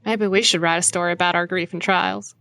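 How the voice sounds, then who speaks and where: A woman's voice: fairly low pitch, fairly even intonation, brisk, fairly bright tone, no room sound, lightly acted. A woman playing an older wife and grieving mother, frank and consoling, in an audio drama. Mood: gentle.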